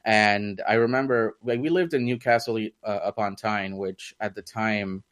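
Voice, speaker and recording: A man's voice: on the low side, normal speed, fairly bright tone. A young man from India with a mixed Indian, British and American accent, chatting as an interview guest and rambling through fillers and restarts. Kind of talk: casual interview conversation.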